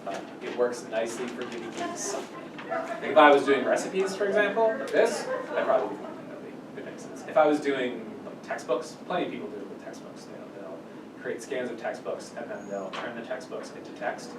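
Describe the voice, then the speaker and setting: A man's voice: animated, fairly quick. A man giving an informal technical explanation to a small group as a workshop presenter, often restarting and repeating his phrases. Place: small indoor meeting room. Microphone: far camera microphone. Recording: distant mic in echoey room, rumbly noise.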